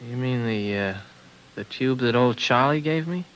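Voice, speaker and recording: A man's voice: medium pitch, normal speed, a bit bright, a little hiss or hum, close to an everyday voice, rough recording. An actor in a 1950s radio drama playing a wary, bewildered space traveler who also tells his story in hard-boiled style. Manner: plain-spoken, questioning, hard-boiled. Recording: old 1950s radio recording.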